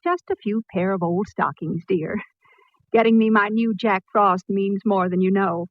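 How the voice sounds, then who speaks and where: A woman's voice: mid-range voice, fairly even intonation, moderate pace, no echo, lightly acted. Actress as gushing, genteel career woman, dull sound. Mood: gracious, grateful. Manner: effusive, genteel. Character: gushing, polite department store advertising woman. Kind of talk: 1940s radio drama acting. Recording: dull, dry vintage radio recording.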